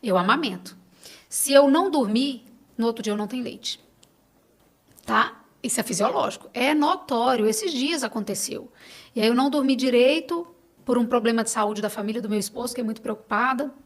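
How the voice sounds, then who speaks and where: Brazilian woman on a podcast, highish voice, chatty and emphatic, says things twice for stress. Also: lively intonation, fairly quick. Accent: Brazilian Portuguese. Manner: emphatic, repeats phrases for stress. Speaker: podcast guest. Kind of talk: casual interview conversation.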